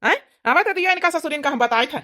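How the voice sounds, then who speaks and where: A woman's voice: high-pitched, lively intonation, fast talker, pushed and bright. A woman voicing an indignant, protective grown village son in a cartoon comedy, blunt and scolding with an Awadhi accent. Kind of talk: comic cartoon skit.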